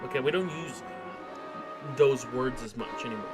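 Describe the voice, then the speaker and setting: A male game streamer with a mid-range voice gives casual live commentary. He is jokey and a bit crude, and music plays clearly behind him. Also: mid-range voice, moderate pace. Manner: jokey, profane, echoes lines he has heard.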